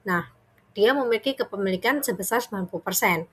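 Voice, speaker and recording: A young woman gives a student presentation in an informal, explanatory style. She talks conversationally and goes through things step by step in a medium-pitched voice. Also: fast talker, fairly bright tone, breathy edge. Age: young adult.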